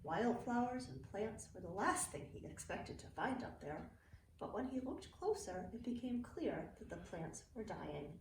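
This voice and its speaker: Middle-aged female teacher, children's storybook read-aloud, very animated intonation, recorded in a roomy space away from the mic. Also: mid-range voice, a little slow. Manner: expressive, clearly phrased storytelling for young children. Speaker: early learning teacher reading to students. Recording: roomy, distant mic with faint noise.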